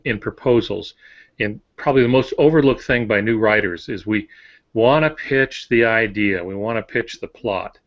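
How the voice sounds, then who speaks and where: A man with a somewhat low voice gives advice as an author co-hosting a podcast. His delivery is explanatory and wry, casual but instructive. The recording sounds slightly dull and lo-fi. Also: fairly bright tone. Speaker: novelist and podcast co-host giving writing advice. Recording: dull, band-limited audio.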